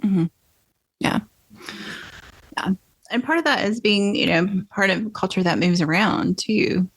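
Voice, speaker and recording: A woman's voice: medium pitch, measured pace, slightly rough, dry recording, poor audio. A podcast host, a woman with a mild Southern American accent, chatting casually and telling anecdotes with frequent yeahs. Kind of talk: casual conversational interview.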